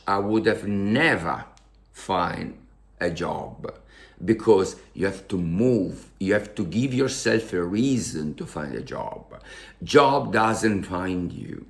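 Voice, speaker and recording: An Italian man speaks slowly and unhurriedly, pausing often and repeating words as he works through his English. His voice sits fairly low, and he talks with open emotion as a vlogger addressing his viewers. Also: creaky. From Italy. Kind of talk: casual storytelling to camera.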